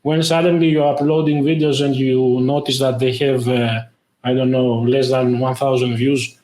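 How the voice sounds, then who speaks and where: Man, halting, in a roomy echo. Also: mid-range voice, level tone, mellow. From Greece. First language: Greek. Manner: halting, lots of "uh" fillers. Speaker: guest, tech YouTuber. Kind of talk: casual interview talk.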